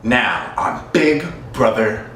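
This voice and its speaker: A man's voice: mid-range voice, lively intonation, slow pace. A man hosting a reality competition show with a dramatic announcer delivery. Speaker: host. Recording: echoey room, distant mic, faint hiss.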